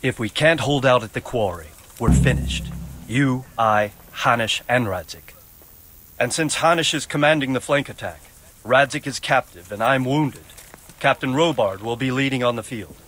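An actor playing a stern, shrewd older nobleman and commander in a game, speaking with measured authority. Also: mid-range voice, fairly bright tone, slight background noise, close to an everyday voice. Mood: grave, commanding. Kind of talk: dramatic game cutscene acting. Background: background music.

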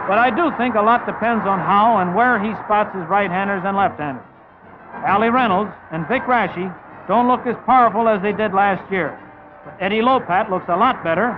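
A man's voice: sits high, background noise. A man working as a reporter, delivering 1950s sports newsreel narration and commentary. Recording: dull vintage 1950s newsreel sound, music behind.

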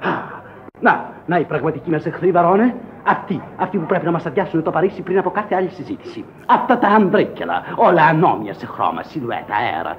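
A pompous, scornful capitalist president in an old radio play. He declaims in a high, swooping voice and is easily worked up. The sound is muffled, with music in the recording. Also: big pitch swings, a little hiss or hum. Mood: outraged. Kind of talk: theatrical radio drama acting.

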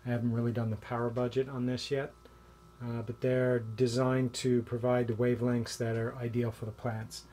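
A man in his forties explaining a homemade project in a small basement utility room, in a relaxed, plain way with occasional fillers. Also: medium pitch, moderate pace, a little hiss or hum. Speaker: vlogger. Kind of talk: casual show-and-tell walkthrough.

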